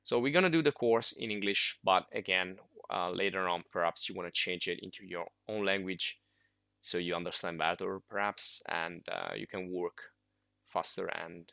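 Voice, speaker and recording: A man whose first language is Italian walks through a software tutorial in a loose, informal way, full of fillers and restarts. His voice sits fairly low yet sounds bright and forward. The recording is dry and close, with no room around it. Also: vocal fry, dry recording. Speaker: software instructor.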